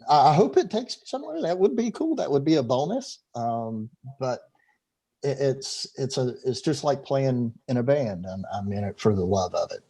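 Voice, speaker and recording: A middle-aged man with a mellow, darker voice, heard over a video call from a room at home. He talks casually and rambles, stumbling over and repeating his words. He sounds like an easygoing guest in an online interview. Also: medium pitch, slightly rough. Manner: talkative, stumbles and repeats words, laughs easily.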